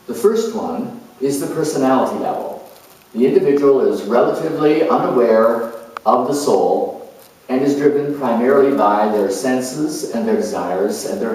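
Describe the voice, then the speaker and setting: Casting: male astrologer lecturing, slow and deliberate, very reverberant room, mic at a distance. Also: mid-range voice, a little mellow. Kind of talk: explanatory lecture. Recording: very echoey room, distant microphone.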